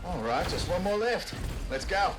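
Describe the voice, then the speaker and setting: A man's voice: high voice, expressive, moderate pace. A man voicing a cocky, wisecracking rogue fighter in a video game, with a jaunty, folksy, teasing way of talking. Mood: upbeat, busy. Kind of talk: video game character dialogue. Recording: voice under loud game music. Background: loud game music.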